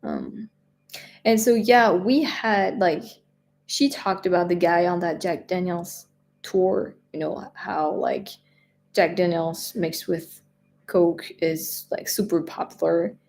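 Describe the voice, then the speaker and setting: Woman, 20s, French accent, slightly low voice, easygoing live-stream chatter who rambles. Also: slow pace, a little mellow. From France. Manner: chatty, rambling, lots of fillers and restarts. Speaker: travel vlogger answering live chat. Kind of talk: casual live-stream chat.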